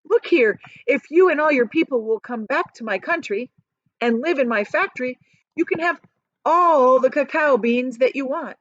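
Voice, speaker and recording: A woman voicing an eccentric, proud and excitable male chocolate factory owner in a theatrical, emphatic storybook manner. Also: sits high, normal speed, dry recording, lo-fi sound, pitched above the performer's own voice, close to an everyday voice. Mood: eager, persuasive. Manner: theatrical, emphatic, stretching key words.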